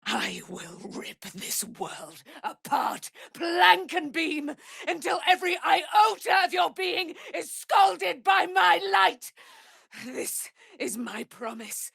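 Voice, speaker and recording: A woman's voice: high-pitched, vocal fry, fairly bright tone, dry recording, clean studio sound, full character voice. A woman playing a proud, vengeful and noble immortal warrior in a fantasy video game, declaiming in a grand, formal, archaic way. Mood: vengeful fury.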